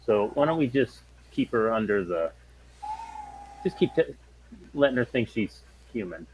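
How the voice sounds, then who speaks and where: A man's voice: medium pitch, expressive, moderate pace, darkish tone, slight background noise, rough recording. A man talking casually in character as a player in a tabletop role-playing game.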